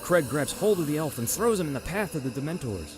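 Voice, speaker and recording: A man's voice: a little high, big pitch swings, quick pace. A man narrating a scripted radio drama in a dramatic storytelling manner. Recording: music bed behind the voice.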